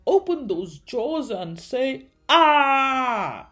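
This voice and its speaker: A woman's voice: high voice, very animated, extremely slow, a bit bright, exaggerated voice. A woman voicing a cowardly, blustering male sea-creature dentist in a storybook, with a theatrical, stammering, shrieking delivery. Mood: nervous bluster.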